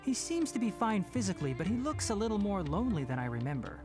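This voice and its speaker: An actor playing a calm young forest ranger in a game, level and gently teasing. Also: male voice, high-pitched, fast. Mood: gentle. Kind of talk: game dialogue. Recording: game audio with loud music behind.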